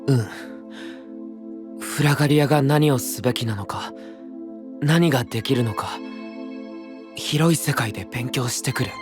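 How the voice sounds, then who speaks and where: A man playing an earnest, troubled young knight of a secluded kingdom in a Japanese fantasy voice drama, soft-spoken, measured and sincere. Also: medium pitch, over music, close to an everyday voice. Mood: earnest, resolute.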